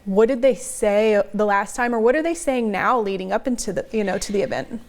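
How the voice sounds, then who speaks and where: A woman's voice: medium pitch, brisk, darkish tone. A woman hosting an interview show, encouraging her guest with frequent affirmations and questions. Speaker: show host.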